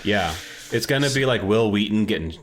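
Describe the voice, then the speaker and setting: A man's voice: fairly low pitch, lively intonation, a little fast. A man co-hosting a podcast, chatting casually and enthusiastically about movies in an informal, opinionated way. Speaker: podcast co-host.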